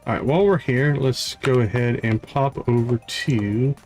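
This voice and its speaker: A man's voice: mid-range voice, unhurried, a little mellow. A man hosting a game livestream, thinking aloud in casual, chatty commentary as he plays. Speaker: livestream host playing a video game. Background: game music.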